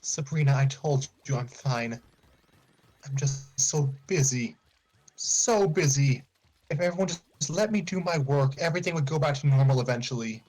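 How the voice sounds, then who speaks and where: A man acts out an overworked young woman from a dramatic story. The character is evasive and defensive, with curt, dismissive delivery and a mid-range pitch. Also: normal speed, darkish tone, natural voice, rough recording. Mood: weary, evasive.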